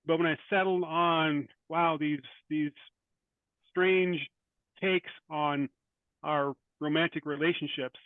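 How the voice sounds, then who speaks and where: A man speaking as a writer guest in an interview, thoughtful and halting, often repeating words as he goes. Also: fairly high pitch, fairly even intonation, no room sound, phone-quality sound. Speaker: guest, short fiction writer. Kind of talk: interview conversation.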